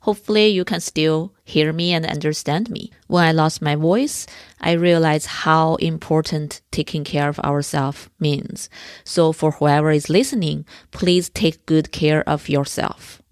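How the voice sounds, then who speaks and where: Woman, hoarse from a cold, lowish voice, dry close sound, podcast host. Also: steady tone, measured pace, no room sound. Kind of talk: conversational interview.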